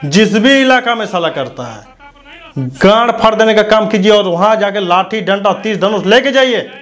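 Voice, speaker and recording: A man's voice: high voice, animated, normal speed, a bit bright, faint music behind. A man delivers a combative political rant to camera on a lapel mic, scornful, abusive and confrontational. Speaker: news commentator.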